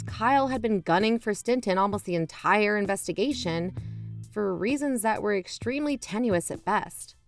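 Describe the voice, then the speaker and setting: A woman's voice: medium pitch, darkish tone, lightly acted. Emphatic woman hosting an investigative podcast, acted, music behind. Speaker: podcast host. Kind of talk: conversational podcast-style scripted narration.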